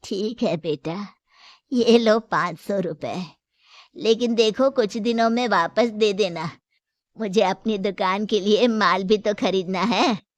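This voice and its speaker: A woman playing a gentle, patient elderly mother-in-law who keeps a small shop in a Hindi moral story. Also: mid-range voice, takes it slowly, no room sound, natural voice. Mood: mildly firm.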